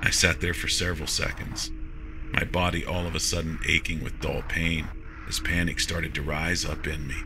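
A man's voice: very deep voice, little pitch movement, normal speed, over music. A man reading a first-person science fiction horror story as a scripted narration.

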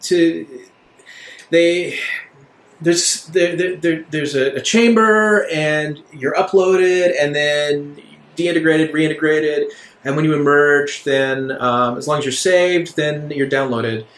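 A man playing an urgent, evasive guide in a tabletop game, explaining things in halting bursts. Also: on the high side, fairly bright tone. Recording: echoey room.

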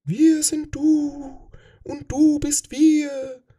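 Audiobook role: serene alien hive mind, eerie breathy whisper, slow and hushed. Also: male voice, very high-pitched, level tone, pitched above the performer's own voice, exaggerated voice.

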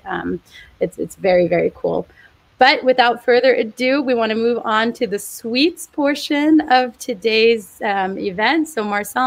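A woman's voice: mid-range voice, gravelly, dry recording. Brief: young woman, webcam host of a live stream, bubbly and effusive, dry close sound. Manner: upbeat, effusive with praise. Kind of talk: livestream event hosting. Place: home room, on video call.

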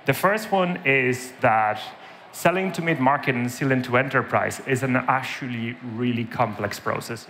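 Conference speaker, man in his thirties from Spain, Spanish-Irish accent, bright forward tone, lively storyteller, faint hall ambience. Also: mid-range voice, slight background noise. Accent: Spanish with Irish influence. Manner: lively storytelling, frequent "right?" tags. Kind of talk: keynote talk with anecdotes. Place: large dark conference stage.

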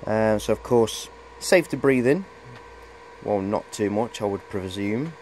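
A man's voice: lowish voice, animated, measured pace, a little mellow, slight background noise, rough recording. A middle-aged man giving a casual, hesitant, rambling show-and-tell on a handheld camera in a cluttered workshop. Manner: rambling, hesitant, repeats "of course". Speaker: hobbyist presenter.

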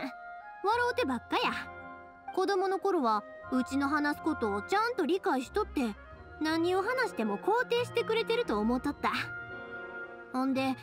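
High, animated young Kansai woman. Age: young adult. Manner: expressive, friendly, chatty. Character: cheerful, caring young woman fireworks maker, devoted daughter. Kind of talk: anime game voice acting.

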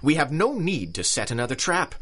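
An actor playing a pompous, ruthless big-game hunter with an English accent in a pulp radio drama, grandiose and scornful. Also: male voice, a little high, very animated, brisk, a bit bright. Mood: cold, scheming.

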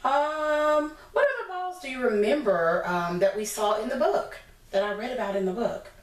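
A woman's voice: fairly high pitch, lively intonation, normal speed. An American woman in her thirties reading a picture book aloud to young children as a teacher, encouraging them and asking simple questions. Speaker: preschool teacher. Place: small indoor room against a plain decorated wall. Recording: roomy echo, distant mic, clear background noise.

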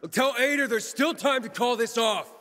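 An actor playing an earnest, steady young survivor hero in a video game. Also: male voice, extremely high pitch, normal speed, in a large hall. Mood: defiant.

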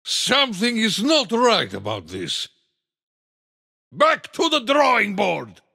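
A big alien mad scientist from a racing game, boastful and theatrical in a thick Russian accent. The voice is high and wildly swooping, with a gravelly rasp. His clipped exclamations trail into a long reverb. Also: huge pitch swings, slow, sharp tone, exaggerated voice. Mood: disappointed. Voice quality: gravelly, raspy. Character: big blustery alien mad scientist kart racer, male. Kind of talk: exclamatory video game character voice clips.

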